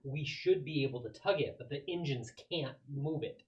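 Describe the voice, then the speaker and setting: A player with a medium-pitched voice talks casually around a tabletop roleplaying game, moving between discussing the situation and speaking for a character. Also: moderate pace, darkish tone, muffled recording.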